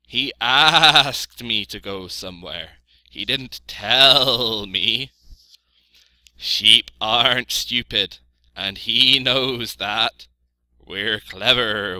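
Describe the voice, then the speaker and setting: A man's voice: medium pitch, bright, forceful tone, dry recording, pitched above the performer's own voice, low-quality recording. A man voicing a bossy, talkative old mother sheep in a storybook, emphatic and repeating herself. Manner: emphatic, repetitive.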